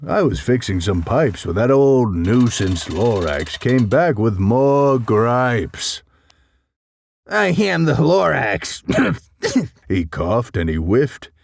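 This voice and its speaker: A man narrating a rhyming children's story in a dramatic, expressive, theatrical way. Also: medium pitch, no room sound, studio quality.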